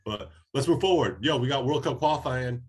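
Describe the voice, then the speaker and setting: A man from Oregon co-hosting a soccer podcast, talking in a relaxed, casual way while slightly hoarse from a head cold. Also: medium pitch, brisk. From Oregon, USA. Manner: laid-back, conversational, many fillers. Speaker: podcast co-host.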